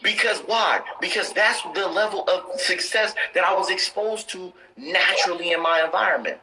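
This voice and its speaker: A man's voice: high voice, normal speed, bright, forceful tone, rough recording. Intense preachy man in his thirties. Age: 30s. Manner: intense, preachy, repeats points for emphasis. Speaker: co-host of a live stream. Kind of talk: motivational live-stream talk.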